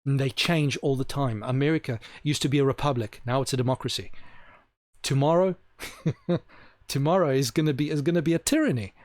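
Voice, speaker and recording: A man's voice: mid-range voice, darkish tone, dry recording. A man giving an opinionated solo monologue to camera, emphatic and repeating his points for stress. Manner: slows down to stress key words. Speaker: video host.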